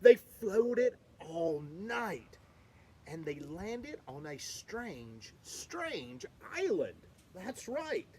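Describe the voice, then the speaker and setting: Lady reading a picture book out loud, slow and animated, storytime vibe. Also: slight background noise. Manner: slow, expressive, lively pitch swings. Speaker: storybook reader. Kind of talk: children's read-aloud narration.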